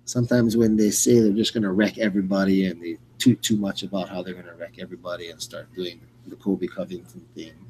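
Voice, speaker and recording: A man hosting fight commentary in a casual, rambling, unscripted way, often halting and repeating words. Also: low-pitched, slight background noise, poor audio. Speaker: radio play-by-play host.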